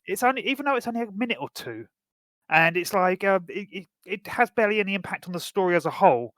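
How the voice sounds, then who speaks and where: Man, slightly high pitch, informal colloquial podcast co-host, casual film chat, dry close sound. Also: animated, fast, a bit bright, dry recording.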